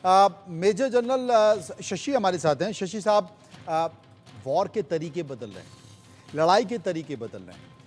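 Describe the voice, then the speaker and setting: A man's voice: sits high, animated, a little slow, a little mellow. A man anchoring breaking news, emphatic and repeating key phrases as he presents and questions guests. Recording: music bed behind the voice. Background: news music.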